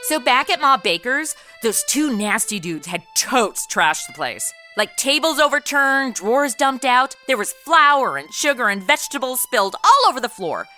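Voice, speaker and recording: A woman's voice: high voice, sing-song, normal speed, few pauses, sharp tone, soft background music, exaggerated voice. A woman playing a sarcastic modern party girl in an audio comedy, slangy and blasé, acting and narrating. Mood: gossipy narration. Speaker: lead character and narrator.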